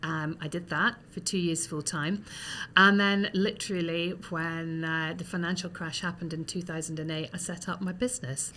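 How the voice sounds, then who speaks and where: A Scottish woman in her forties, a guest telling her story in a relaxed, chatty way with many ums. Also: fairly low pitch, normal speed, slight background noise. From Scotland.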